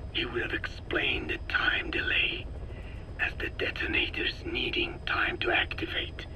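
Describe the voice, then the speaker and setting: An actor playing a solemn, elderly Navajo scientist in a video game, speaking in a breathy whispery rasp with halting, measured phrases. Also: male voice, medium pitch, low-quality recording. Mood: grave, explaining. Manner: slow, measured, halting delivery in short phrases. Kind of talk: dramatic video game dialogue.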